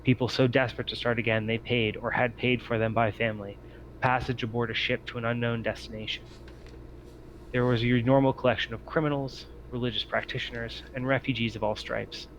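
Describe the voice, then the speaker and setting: A man's voice: medium pitch, little pitch movement, brisk, fairly bright tone. A man acting as game master, speaking to players about a tabletop roleplaying game over a group voice call. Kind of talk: casual explanation and scene narration for a tabletop game. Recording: muffled lo-fi voice chat, clear noise.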